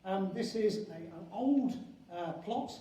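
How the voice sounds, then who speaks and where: An older man giving an academic conference lecture as a professor, halting and restarting phrases, with emphasis on key words. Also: sits high, lively intonation, mellow. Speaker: university professor and head of geography school. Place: large echoing conference venue indoors. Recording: very echoey hall, distant mic, faint noise.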